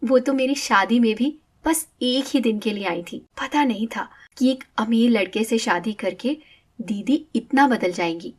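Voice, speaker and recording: A woman's voice: fairly high pitch, measured pace. A woman playing an upset, caring younger sister in a Hindi moral story, speaking earnestly and emphatically. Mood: indignant, hurt.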